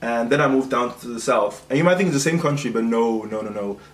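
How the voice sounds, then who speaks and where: A man's voice: mid-range voice, fast. A young man from Cameroon chatting casually and explaining his views in an informal way, as the host of a video discussion. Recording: echoey room with some background noise.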